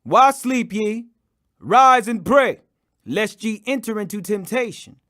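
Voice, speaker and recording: Man plays grave male religious teacher: high voice, commanding, solemn, declamatory audiobook delivery. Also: animated, takes it slowly, fairly bright tone, exaggerated voice.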